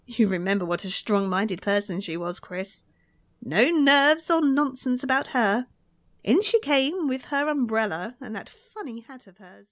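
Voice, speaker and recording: Young daughter in radio mystery, played by a woman: high, eager voice, polite and earnest. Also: measured pace, close to an everyday voice. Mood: eager, helpful. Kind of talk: 1940s-style radio mystery acting.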